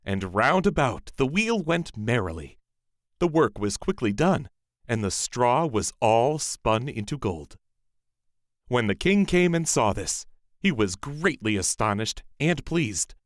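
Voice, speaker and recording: Lively, expressive male storyteller. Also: medium pitch, dry recording, clean studio sound. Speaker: storyteller, narrator. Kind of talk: scripted fairy-tale narration.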